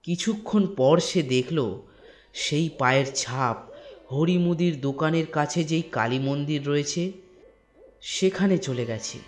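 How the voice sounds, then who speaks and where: A man narrating a scripted ghost story in a dramatic storytelling manner with drawn-out pauses. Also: mid-range voice. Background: soft instrumental music.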